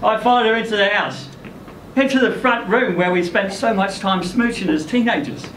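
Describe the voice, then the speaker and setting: A man's voice: high voice, normal speed, a bit bright. A man performing a first-person short story aloud at a live event, as its narrator. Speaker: story reader performing in character as ageing rock singer. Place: indoor live literary event venue. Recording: echoey room, distant mic, faint noise.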